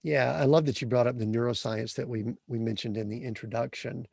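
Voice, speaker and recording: A man's voice: mid-range voice, steady tone, fairly quick, creaky, darkish tone, husky, no echo, clean studio sound. A man hosting a podcast interview, asking earnest, rambling questions full of restarts. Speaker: podcast host.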